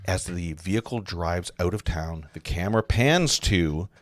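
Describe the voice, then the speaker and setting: A man narrating a film script at a live table read, halting and often correcting himself. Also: low voice, animated, no room sound. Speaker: narrator reading stage directions.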